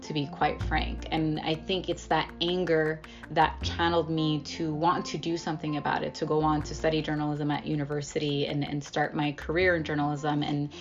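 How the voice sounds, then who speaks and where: A woman's voice: deep voice, level tone, moderate pace, few pauses, highs cut off, faint music behind. A woman journalist from the United States telling personal stories in a thoughtful, reflective way in an interview. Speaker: journalist guest.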